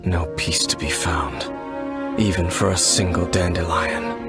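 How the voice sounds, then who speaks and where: Low, solemn, mysterious wanderer. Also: male voice, steady tone, over loud music. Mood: wistful. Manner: measured, solemn, formal. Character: mysterious, stoic adult male wanderer, world-weary and cryptic. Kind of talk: English video game voice acting.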